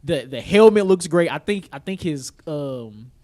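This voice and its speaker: A man's voice: on the high side, expressive, a little slow, a little mellow. An American man co-hosting a podcast, chatting casually with emphatic, slangy phrasing and frequent repetition. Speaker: podcast co-host. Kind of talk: casual chat about film trailers and news.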